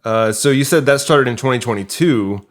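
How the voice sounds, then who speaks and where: A man's voice: medium pitch, moderate pace, over a noisy crowd. A man hosting a casual interview, asking questions and giving short acknowledgements. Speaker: podcast host, interviewer.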